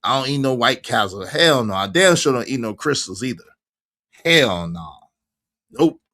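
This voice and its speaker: A man's voice: mid-range voice, animated, moderate pace, sharp tone. A man around fifty hosting a casual livestream, chatting animatedly with viewers, laughing and reacting to their comments. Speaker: livestream host.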